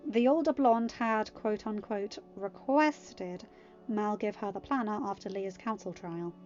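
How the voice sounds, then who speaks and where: A woman reading a story aloud as an expressive amateur podfic narrator. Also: mid-range voice, a little slow, lo-fi sound. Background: music.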